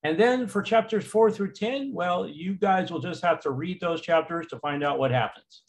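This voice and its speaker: Man, teacher voice over slides, relaxed and casual, many fillers. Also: sits high, moderate pace. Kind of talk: recorded slideshow lecture.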